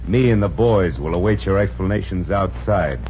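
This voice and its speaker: An actor playing a menacing, wisecracking gangster who talks in mock-polite slang in a 1950s radio comedy. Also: male voice, deep voice, normal speed, a little mellow. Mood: menacing, amused. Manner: slangy, mock-polite tough-guy talk. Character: menacing wisecracking male gangster debt collector. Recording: muffled, noisy old radio recording.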